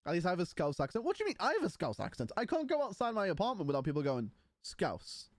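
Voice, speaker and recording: A man chats with his stream audience, teasing and playful. His voice sits a little high for a man. The sound is dry and close, with no room echo. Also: huge pitch swings, fast. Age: 20s. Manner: banters with chat, mocking and playful. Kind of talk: casual livestream chat.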